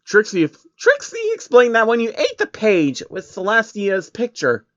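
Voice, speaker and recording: A man's voice: sits high, huge pitch swings, measured pace, dull audio, voice pushed up, heavily acted. A man voicing a boastful, eager female unicorn magician with a theatrical manner in a storybook reading. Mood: exasperated deadpan. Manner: theatrical, refers to self in third person. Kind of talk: storybook character voice in amateur fan fiction reading.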